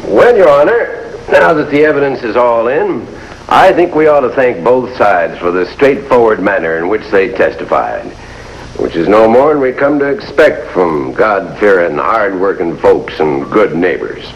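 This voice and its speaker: An actor playing a glib, folksy country defense counsel with a rural American accent and an oratorical, drawn-out delivery. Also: male voice, fairly low pitch, very animated, muffled recording. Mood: persuasive, folksy. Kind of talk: 1950s television drama acting. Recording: faintly noisy old TV soundtrack.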